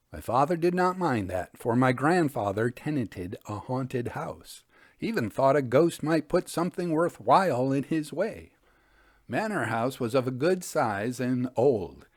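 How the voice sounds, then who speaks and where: Man telling stories with lively, swooping intonation. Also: medium pitch. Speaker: podcast host reading old ghost stories. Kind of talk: scripted storytelling narration, reading aloud.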